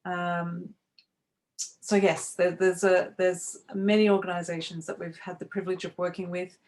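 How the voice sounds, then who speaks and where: A woman's voice: fairly low pitch, flat delivery, normal speed, a little mellow. A middle-aged woman hosting a live online webinar as a coach trainer, speaking over a video call in a welcoming way with frequent restarts. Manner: welcoming, talks with many fillers and restarts.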